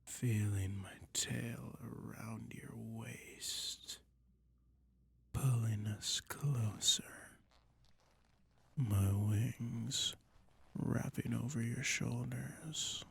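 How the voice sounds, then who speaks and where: A man voicing a soothing, toying dragon in a soft, breathy whisper, slowly lulling the listener in an ASMR hypnosis roleplay. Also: medium pitch, fairly even intonation, full character voice. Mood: soothing, hypnotic. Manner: slow, soothing, lulling, drawn-out phrases.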